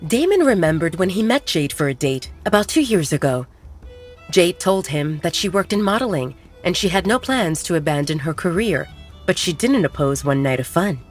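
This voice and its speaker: A woman's voice: fairly low pitch, very animated, moderate pace, faint music behind. A woman narrating a werewolf romance audio drama in a scripted storytelling style.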